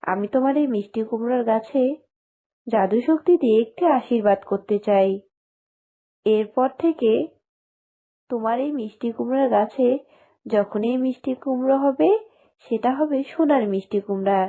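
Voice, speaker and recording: Soft mellow flower fairy voiced by a woman. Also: fairly high pitch, moderate pace, pauses often. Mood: gentle, consoling. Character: kind, gentle female flower fairy with magic. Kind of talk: cartoon voice acting.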